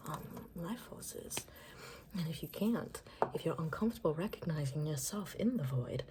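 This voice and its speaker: A woman's voice: deep voice, noisy background. A woman doing an ASMR roleplay as a makeover stylist, murmuring repetitive, reassuring step-by-step instructions in a personal-attention style.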